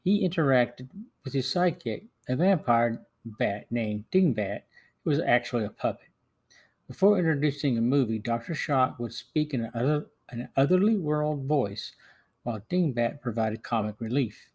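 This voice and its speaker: A man's voice: medium pitch, expressive, moderate pace, darkish tone, breathy edge. A middle-aged or older man hosting a podcast, reading a scripted film review with the occasional stumble. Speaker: podcast host.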